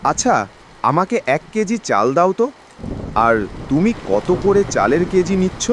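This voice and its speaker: A man's voice: high-pitched, sing-song, normal speed. A man voicing a worried, henpecked village guard in a cartoon. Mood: surprised, questioning. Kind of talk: animated cartoon dialogue. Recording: faint background noise.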